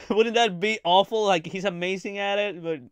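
A man's voice: high-pitched, unhurried, clean tone, dry recording, studio quality. A man streaming a live reaction to anime clips, rambling casually with crude jokes and frequent giggling.

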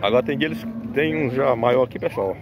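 A man's voice: medium pitch, brisk. A man, a farmer vlogging a casual walkthrough at an outdoor cattle market, filling his talk with tag questions. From Northeast Brazil. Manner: chatty, fills with "né" and "pessoal". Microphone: handheld phone camera. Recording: outdoor noise of cattle and vehicles.

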